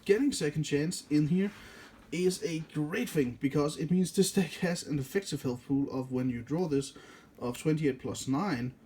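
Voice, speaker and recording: A man's voice: a little high, normal speed, a little mellow, slight background noise. A man with a Danish accent, a native Danish speaker, casually walking through a card game deck, rambling and correcting himself often. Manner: rambling, self-correcting, many fillers.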